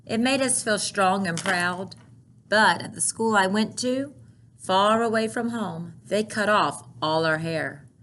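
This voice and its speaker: A woman voicing a gentle older grandmother who recalls her childhood in a picture book, measured and earnest. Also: medium pitch, slight background noise. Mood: wistful, proud.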